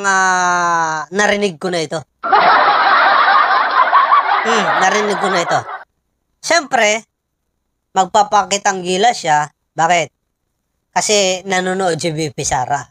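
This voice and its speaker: A woman's voice: on the low side, fairly bright tone, no echo. Dry-recorded Filipino woman commentator. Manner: conversational, addressing viewers with rhetorical questions. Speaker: political commentator and host. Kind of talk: casual opinion commentary.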